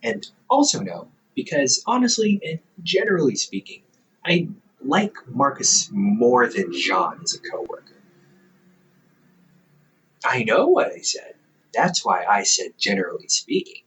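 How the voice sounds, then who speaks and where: A man's voice: mid-range voice, huge pitch swings, a little slow, a bit bright, slight background noise, full character voice, rough recording. A man voicing a playful young adult older brother who works as a company therapist, teasing the listener and laughing often in an audio roleplay.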